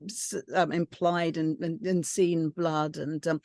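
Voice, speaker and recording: A woman's voice: low voice, flat delivery, slow, a little mellow, no echo, studio quality. A woman on a reading panel giving casual, tentative feedback, circling round her phrases. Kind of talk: casual discussion.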